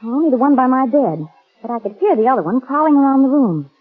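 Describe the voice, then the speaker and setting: A woman's voice: high-pitched, big pitch swings, fast, breathy edge, faint music behind, full character voice. An actress playing a plucky, wisecracking young American society woman in a 1930s radio drama. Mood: shaken but wry. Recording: muffled vintage 1930s radio recording.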